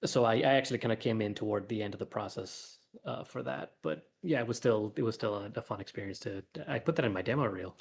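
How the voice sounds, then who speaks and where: A man's voice: lowish voice, lively intonation, quick pace, gravelly, slightly rough. A man talking casually as a guest creator in an interview, thinking aloud and rambling a little as he explains. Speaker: guest comic author and animation artist. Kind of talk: casual livestream interview talk.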